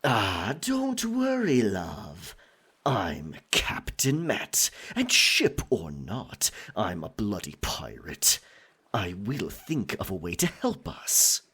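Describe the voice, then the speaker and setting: A man playing a possessive, roguish pirate captain in an ASMR roleplay, theatrical, teasing and dominant, with a husky, breathy voice. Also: lowish voice, huge pitch swings, takes it slowly, pushed and bright, full character voice. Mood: scheming, reassuring.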